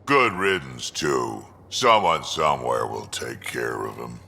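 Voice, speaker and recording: An actor playing a gruff old alien mercenary in a video game, with a gravelly rumble and a dry, blunt drawl. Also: male voice, animated, slow, bright, forceful tone, in a large hall, full character voice. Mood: sardonic. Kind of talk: video game character acting.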